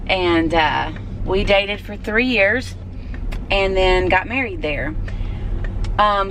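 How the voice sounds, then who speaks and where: Southern woman vlogger, casual and rambling, middle pitch, recorded on the go in a car with street noise. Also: a bit bright, poor audio. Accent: Southern US (Alabama). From Alabama. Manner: rambling and chatty, many fillers. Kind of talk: casual chat to camera.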